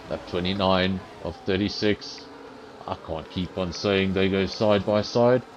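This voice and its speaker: A man's voice: low voice, steady tone, a little slow, lo-fi sound, a little hiss or hum. A man giving live commentary on an online racing league stream, chatty and self-deprecating, talking straight to his viewers. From South Africa. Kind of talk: live esports race commentary.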